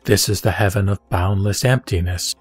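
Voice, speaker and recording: A man giving a measured, solemn reading of a Buddhist scripture. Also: deep voice, breathy edge, no room sound. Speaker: sutra reciter. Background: soft background music.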